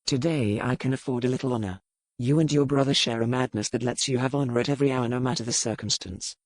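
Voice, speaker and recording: A man playing a proud, battle-hardened English lord and pirate in a historical romance audiobook, speaking in formal, measured phrases. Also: medium pitch, no room sound, natural voice. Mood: frank, tender.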